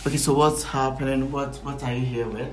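A man's voice: medium pitch, steady tone. Ghanaian guy hosting a podcast, lots of uh and um, bit of hiss behind him. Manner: halting, many fillers. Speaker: podcast host. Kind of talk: casual podcast chat.

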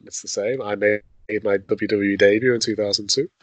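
A man's voice: fairly low pitch, steady tone, a little fast, no room sound. A man hosting a casual quiz game, reading clues aloud in first person and laughing often. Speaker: quiz host.

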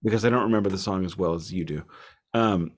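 A man's voice: sits low, fast. A man co-hosting a casual music discussion, talking in a wry, emphatic and discursive way. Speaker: album review podcast co-host.

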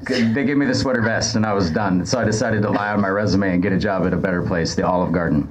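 Low voiced guy, podcast guest on stage, dry deadpan casual comedy chat. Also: fast, a crowd in the background, poor audio. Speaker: podcast guest, former restaurant worker. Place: stage in front of an audience.

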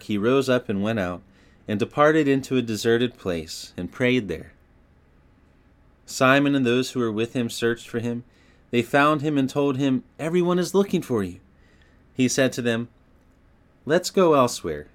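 A man reading scripture as a scripted audiobook narration, with an expressive storytelling delivery. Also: mid-range voice.

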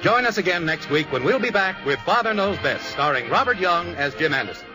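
Higher-pitched male announcer over music. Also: animated, brisk. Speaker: show announcer reading credits. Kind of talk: 1950s radio closing announcement.

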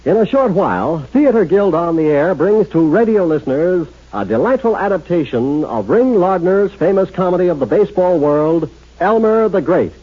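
High-pitched, lively radio announcer man. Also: normal speed. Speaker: network announcer. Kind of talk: 1950s radio promo announcing. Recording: dull 1950s radio recording.

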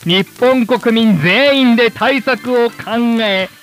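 An actor playing a formal, polished old-time television presenter addressing the nation in a radio drama. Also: male voice, very high-pitched, slow pace, a bit bright, soft background music, heavily acted. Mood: showy hosting. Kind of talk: broadcast announcing in radio drama.

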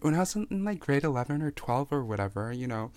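A man's voice: medium pitch, big pitch swings, quick pace, a loud crowd. A man in his twenties, from Taiwan, talking candidly and with self-mocking humor as a podcast guest. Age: 20s. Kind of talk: casual interview chat.

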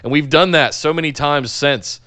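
Male podcast co-host, big clear voice, loose rambling delivery with casual swearing, close dry mic. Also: fairly high pitch, normal speed, pushed and bright, dry recording. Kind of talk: casual wrestling podcast chat. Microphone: podcast mic close to face.

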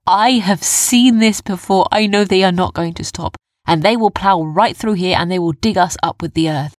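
A woman's voice: fairly low pitch, normal speed, no room sound, studio quality, lightly acted. A woman voicing a worried male furry creature in a children's story, earnest and pleading as he urges caution.

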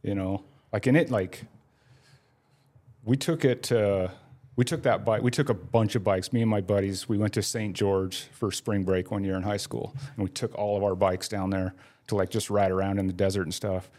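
A man in his forties with a fairly low voice tells stories as a podcast guest. He rambles loosely, leaning on "like" between nearly every phrase, in an easygoing, conversational way. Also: fairly even intonation, normal speed, a little mellow. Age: 40s. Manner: rambling, heavy use of "like" fillers. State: laid up with a broken leg. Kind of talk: casual storytelling.

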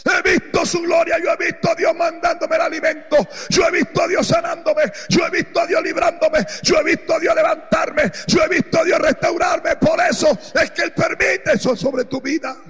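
A man preaching as pastor, shouting his lines in a sing-song preaching cadence. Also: extremely high pitch, steady tone, normal speed, few pauses, soft background music. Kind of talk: sermon. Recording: long reverb, echoey hall.

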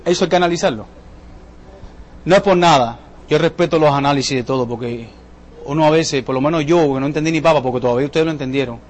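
A man's voice: on the high side, fast talker, slight background noise. A man with a Cuban accent giving an emphatic, colloquial motivational sales talk to an audience, driving points home with repetition and rhetorical questions. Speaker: business seminar presenter, salesman.

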